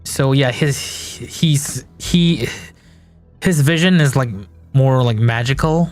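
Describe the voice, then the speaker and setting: A man's voice: medium pitch, takes it slowly, soft background music. Malaysian man rambling while modeling. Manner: rambling, thinking aloud with restarts and chuckles. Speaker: game developer streamer. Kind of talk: casual live-stream chat while working.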